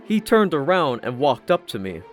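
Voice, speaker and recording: Animated, higher-pitched male story narrator. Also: moderate pace. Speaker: audiobook narrator. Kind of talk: first-person scripted story narration. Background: background music.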